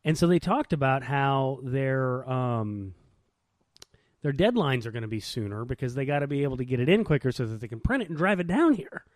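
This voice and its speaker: A man's voice: mid-range voice, animated, brisk, a little mellow, no echo, clean studio sound. A man chatting casually as a podcast co-host, telling stories in an informal, slangy way. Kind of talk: casual chat.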